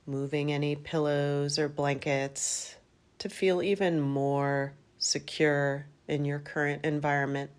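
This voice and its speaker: A woman leading a guided meditation in a calm, gentle manner, in unhurried phrases with long pauses. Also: sits low, clear, resonant tone. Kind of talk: guided yoga nidra meditation.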